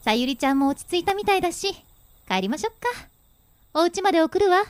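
Radio drama older sister, woman acting: high, swooping delivery, bossy, teasing, caring underneath. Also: sing-song, dry recording. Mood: teasing, friendly. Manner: brisk, teasing, blunt with her brother.

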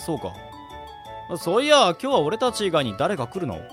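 A man acts out a wary young man in a radio drama. His voice sits high and moves up and down a lot as he grumbles and fires back blunt retorts over a music bed. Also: wildly swooping pitch, moderate pace. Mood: casual, upbeat. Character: wary, exasperated young man among drinking buddies, Kenji. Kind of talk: amateur radio drama acting.

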